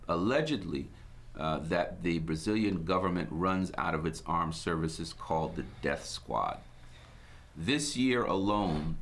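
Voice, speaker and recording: A man from Guyana hosting his own broadcast, giving a measured, preacherly inspirational talk with scripture quotes. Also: low voice, fairly bright tone. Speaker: host.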